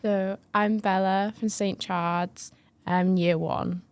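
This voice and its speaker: A woman's voice: mid-range voice, steady tone, takes it slowly, clear, resonant tone, dry recording. A young woman from northern England, a first-year student talking earnestly with many hedging fillers. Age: young adult. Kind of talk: casual chat.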